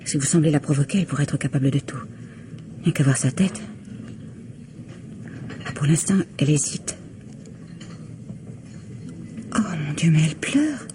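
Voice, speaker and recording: An actress plays a reserved, skeptical young woman in an old French radio play. She speaks in a guarded, terse way. The old recording has clear noise behind her. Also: low-pitched, normal speed, pauses often, lightly acted. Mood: anxious.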